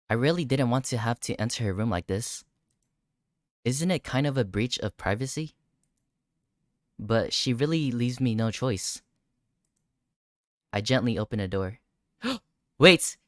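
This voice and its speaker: A game streamer with a mid-pitched voice reads a visual novel aloud. The narration and dialogue give way to startled personal reactions. The recording is very dry, with almost no room sound. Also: no room sound. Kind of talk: gaming playthrough, reading visual novel text aloud.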